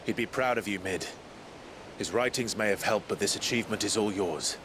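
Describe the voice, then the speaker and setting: An actor playing a brooding, resolute young warrior in a fantasy game, with an earnest, measured delivery. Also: male voice, lowish voice, noisy background, lightly acted. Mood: proud, warm. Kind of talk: dramatic fantasy game voice acting.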